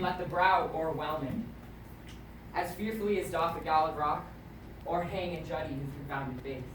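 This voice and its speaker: A commanding warrior king, acted by a man on stage, rallies his troops in declamatory Shakespearean verse. The voice is projected from some way off, with clear background noise around it. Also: on the high side, pushed and bright. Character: rousing warrior king urging his soldiers into battle. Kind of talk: theatrical Shakespeare monologue. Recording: distant mic, echoey, clearly noisy ambience.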